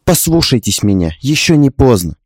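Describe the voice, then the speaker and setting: A man's voice: mid-range voice, sing-song, moderate pace, a little mellow, no echo, studio quality. A man voicing a young wife in an audiobook drama, indignant and stubborn, pleading in an urgent, emphatic way. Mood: earnest, indignant.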